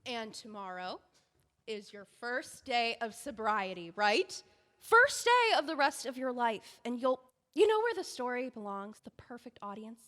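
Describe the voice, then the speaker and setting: A woman's voice: fairly high pitch, sing-song, unhurried, a bit bright, big-room sound. A woman playing a pushy, scheming book editor in an audio drama, talking in a bossy, breezy, persuasive way.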